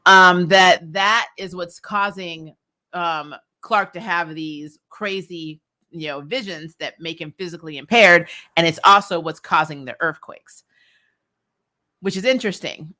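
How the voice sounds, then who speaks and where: A woman giving a casual, slangy episode review as a host, animated and opinionated in her delivery. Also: on the low side, measured pace. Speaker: host of a TV recap show.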